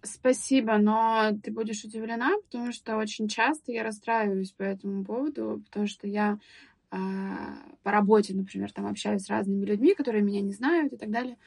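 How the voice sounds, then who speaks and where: Young woman, airy voice, dry close sound, talks slow and thoughtful with lots of ums. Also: medium pitch, steady tone, creaky, darkish tone, slightly rough, dry recording. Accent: Russian speaker living in England. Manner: thoughtful, hesitant, many fillers and restarts. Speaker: guest. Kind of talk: casual podcast interview.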